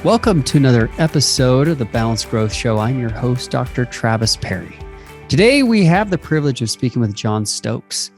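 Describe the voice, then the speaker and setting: A man's voice: mid-range voice, a little mellow. A man hosting an interview show, introducing and addressing his guest in a conversational presenter's style. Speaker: show host.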